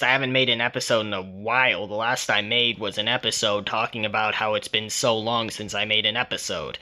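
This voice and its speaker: Very bright-voiced joking podcast man. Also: mid-range voice. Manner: jokey, rambling, speaks in an in-character persona. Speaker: podcast host. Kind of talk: casual rambling monologue.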